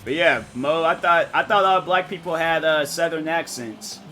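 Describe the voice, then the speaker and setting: A man streaming a game and chatting casually, rambling and thinking out loud with lots of fillers. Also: a little high, moderate pace, slight background noise. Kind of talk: casual live-stream chat.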